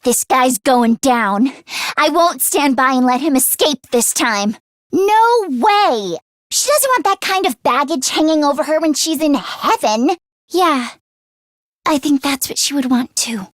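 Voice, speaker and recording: A woman voicing an earnest, anxious teenage girl mechanic in an anime-style video game, expressive and shifting between timid and sassy. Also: sits high, unhurried, no echo, clean studio sound. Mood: grim, determined. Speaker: voice actress.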